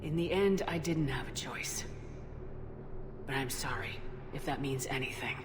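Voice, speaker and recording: A woman's voice: very deep voice, measured pace, reverberant, background noise. A woman playing a resolute, frank military commander in a video game, speaking firmly and directly. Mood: remorseful. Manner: firm, direct. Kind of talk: video game cutscene acting.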